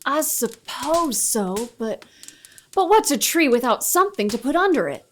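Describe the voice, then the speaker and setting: Woman playing an earnest high-voiced frontier boy. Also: sing-song, a little slow, slight background noise. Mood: wistful. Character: earnest young frontier boy, polite and a little shy. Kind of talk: western radio play acting.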